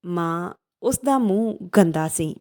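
A woman's voice: on the low side, animated, takes it slowly, dark tone. A woman storyteller narrating a folk tale for children.

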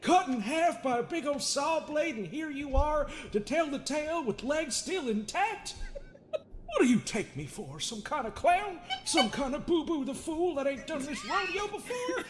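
A man's voice: very high voice, big pitch swings, measured pace, faint music behind, heavily acted. A man voicing an unhinged, sardonic therapist with folksy menace, theatrical and mocking, in an improvised comedy dub of a horror game. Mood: incredulous.

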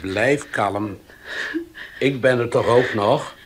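An actor playing a calm, protective middle-aged father soothing his daughter in a 1970s radio play. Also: mid-range voice, takes it slowly, slight background noise, lightly acted. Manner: soothing, gruff.

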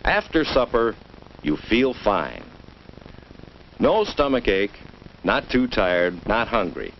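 An American man with a low voice and lively, swooping intonation narrates a 1950s educational film for children. He coaches the listener in a didactic, coaxing way, taking his time. The old soundtrack is muffled. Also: slight background noise. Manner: didactic, coaxing, deliberate phrasing.

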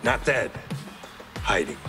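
Deep-voiced grim swordsman. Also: sing-song, slow pace, music in the background. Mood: proud, stern. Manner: terse, menacing, controlled. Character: grim, proud swordsman intruder from hidden clan. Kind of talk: cinematic video game acting.

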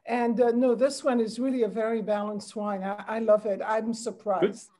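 A woman from France with a French accent, speaking English as a second language, chatting candidly and warmly about a wine on a video call. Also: fairly high pitch, fairly even intonation, normal speed. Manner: enthusiastic, candid. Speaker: guest on a virtual tasting. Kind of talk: casual video-call chat. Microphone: video call webcam.

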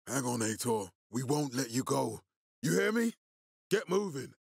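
An actor playing a stern, resolute, fatherly militia leader in a video game, speaking with measured, deliberate authority in a husky voice. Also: mid-range voice, big pitch swings, no echo, clean studio sound, heavily acted. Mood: urgent, alarmed.

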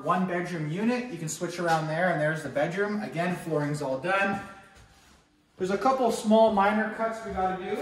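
A young man gives an upbeat, fairly high-voiced walkthrough of his rental renovation, speaking straight to viewers. The empty rooms ring with echo, and he stands a few steps back from the camera. A faint hiss runs behind him. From Canada. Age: young adult. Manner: upbeat, addresses viewers as guys. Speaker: real estate investor vlogger. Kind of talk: walkthrough tour talking to camera. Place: empty apartment under renovation, indoors. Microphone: camera held by another person, a few steps away. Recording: echoey empty room, mic at a distance.

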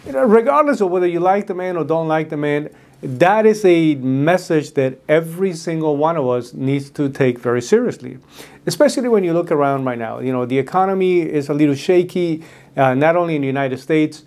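A man's voice: fairly high pitch, lively intonation, brisk, darkish tone. A middle-aged man whose first language is Spanish, speaking with a Spanish accent as host in a casual talk to camera, earnest, with many fillers and restarts. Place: red-walled studio room with desk.

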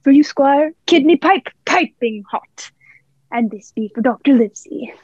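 A young woman playing a genial, flattering old one-legged sea cook in a radio play, with folksy, deferential sailor talk. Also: sits high, a little slow, dry recording, dull audio. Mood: cheery, flattering.

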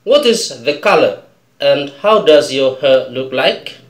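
Slow Indonesian-accented man teaching English. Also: mid-range voice, sing-song, reverberant. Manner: drills words slowly, keeps asking "okay?". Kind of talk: slow pronunciation lesson. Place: small indoor room before a colored backdrop.

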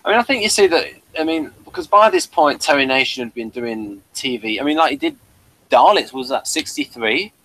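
A man's voice: medium pitch, expressive, brisk, pushed and bright, rough recording. A man hosting a casual review chat, explaining things in a rambling way.

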